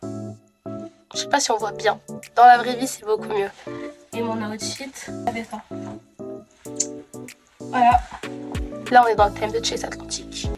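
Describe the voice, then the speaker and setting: A young woman talks to her camera in a loose, rambling vlog style, her voice a little hoarse from a cold. Her voice sits fairly high. Music plays clearly in the background. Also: steady tone, a little slow, long pauses. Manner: rambling, many fillers and restarts. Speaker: vlogger. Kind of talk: casual vlog chat to camera.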